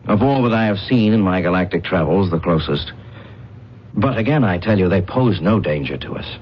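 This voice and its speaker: An actor playing a proud, bitter disgraced alien space captain in a radio drama, with formal, theatrical defiance. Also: male voice, sits low, lively intonation, moderate pace. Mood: defiant. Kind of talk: old-time radio drama acting. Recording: dull, noisy radio drama recording.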